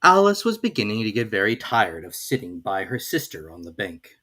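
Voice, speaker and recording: Guy narrating a kids storybook, very expressive and theatrical, pitch goes up and down a lot, light music behind. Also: moderate pace, breathy edge. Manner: theatrical, scripted reading.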